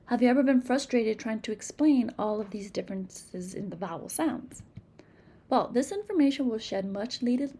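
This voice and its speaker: A woman's voice: medium pitch, mellow, slightly rough. A woman from Massachusetts with a New England accent, a native Spanish speaker, patiently teaching phonics and modeling speech sounds in an instructional video. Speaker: reading teacher.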